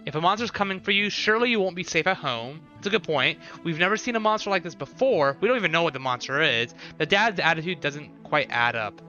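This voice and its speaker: Guy live streaming a game, super bright voice, thinks out loud, bit lo-fi, soft music behind. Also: a little high, expressive, quick pace, hardly any pauses. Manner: repeats short phrases, thinks aloud. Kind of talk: casual live stream commentary, chatting with viewers.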